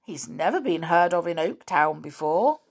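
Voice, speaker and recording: A woman's voice: fairly low pitch, lively intonation, moderate pace, gravelly, slightly rough, heavily acted. A woman voicing a sly, mischievous male squirrel shopkeeper who chuckles and teases. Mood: innocent. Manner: chuckling, teasing.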